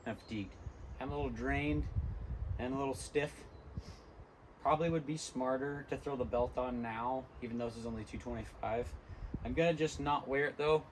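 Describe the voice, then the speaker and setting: Tired hoarse young man in a gym. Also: mid-range voice, fairly even intonation, normal speed, noisy background. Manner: tired, rambling, many ums. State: hoarse, lost voice from illness. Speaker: fitness vlogger. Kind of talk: casual gym vlog talk.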